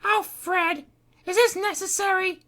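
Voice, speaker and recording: A woman's voice: fairly even intonation, a little slow, fairly bright tone. A woman voicing a frantic young woman with claws who shrieks warnings. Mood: exasperated. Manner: shrill, screaming warnings.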